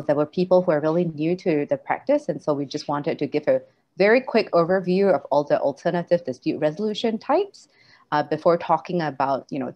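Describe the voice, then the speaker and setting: A woman lawyer giving a steady explanatory presentation over a video call. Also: low-pitched. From Singapore. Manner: explanatory, steady, many fillers. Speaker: lawyer, panelist. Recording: dull video-call audio.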